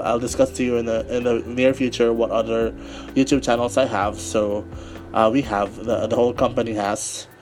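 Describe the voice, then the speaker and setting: A man hosting a casual solo podcast, his voice raspy from a cold, rambling with many fillers and restarts. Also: mid-range voice. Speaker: podcast host.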